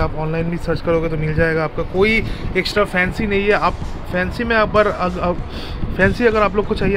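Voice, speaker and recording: A young man with an Indian accent giving a casual, informal product demo, mixing Hindi and English. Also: sits high. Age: young adult. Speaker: motovlogger and gear shop owner. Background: music.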